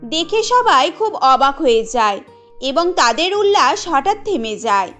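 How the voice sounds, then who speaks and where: A woman's voice: high voice, big pitch swings, measured pace, fairly bright tone, faint music behind. A woman narrating a scripted cartoon story.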